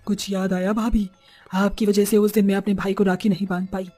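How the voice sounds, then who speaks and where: Woman as a taunting mellow-voiced ghost witch. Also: mid-range voice, level tone, a little fast, faint music behind, close to an everyday voice. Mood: vengeful, menacing. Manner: taunting, menacing. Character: vengeful female witch, ghost of a wronged young seamstress. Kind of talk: animated horror story dialogue.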